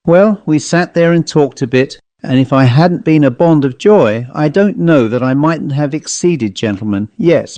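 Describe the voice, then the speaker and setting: A man's voice: mid-range voice, dark tone, no room sound, natural voice. A man reading in character as a chatty, genial commercial traveller telling an anecdote in colloquial style for an audiobook ghost story. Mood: uneasy, confiding. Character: dapper commercial traveller, chatty male raconteur of ghost stories.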